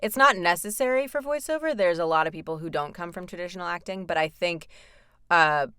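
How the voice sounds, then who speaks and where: A woman voice actor chats freely about her work in a low, smooth, resonant voice. She talks loosely with fillers and small laughs, recorded dry and close. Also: fairly bright tone, no echo. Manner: chatty, with laughs and frequent fillers. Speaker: voice actor guest. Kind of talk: casual interview chat.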